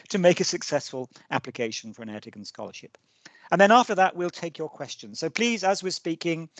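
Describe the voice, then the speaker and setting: A middle-aged man hosts a webinar over a video call, genial and given to light jokes. His voice sits at an ordinary pitch. It sounds dry and close, with no room around it. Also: no echo. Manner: genial, lightly joking, with frequent restarts. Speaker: webinar host, senior scholar. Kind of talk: hosting a live webinar.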